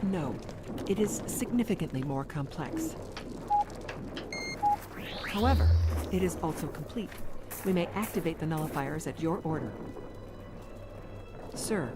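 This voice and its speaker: A woman playing a precise, literal-minded starship commander in an audio drama, formal and deadpan. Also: low-pitched, unhurried, long pauses, over loud music. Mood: dry, exact.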